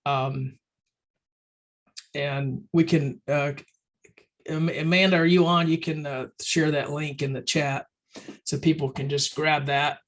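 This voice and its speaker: Guy on a webinar, bit hoarse, higher voice, rambles with lots of ums. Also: fairly even intonation, slow pace, long pauses, a bit bright. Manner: loose and conversational, many ums and restarts. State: slightly hoarse, clearing throat. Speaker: webinar presenter for a firm advisory program. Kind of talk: casual webinar talk.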